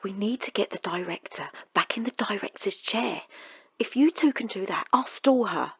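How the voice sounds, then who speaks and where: A tense, conspiratorial character in an audio drama. Her voice is extremely husky and gravelly with creak, in a dry recording. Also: medium pitch, lively intonation, moderate pace, no echo, exaggerated voice. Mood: hushed worry.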